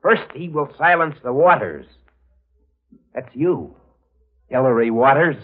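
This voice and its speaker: An actor playing a greedy, menacing killer with blunt, slangy delivery, in 1940s radio drama style. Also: male voice, mid-range voice, lively intonation, slow. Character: brash, greedy, menacing male killer narrating his crime. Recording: muffled vintage radio recording with music.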